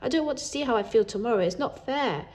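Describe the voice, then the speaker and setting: A woman voicing a timid, anxious young boy in a storybook, with a hesitant, pleading, squeaky delivery. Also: lively intonation, fast talker, a little mellow, close to an everyday voice, rough recording. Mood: upset, protesting.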